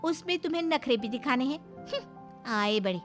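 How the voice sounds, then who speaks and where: A woman's voice: high-pitched, lively intonation, normal speed, loud music behind the voice. A woman voicing a sassy, defiant young bride in a cartoon moral story, pert and scolding in manner. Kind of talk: animated moral story dialogue.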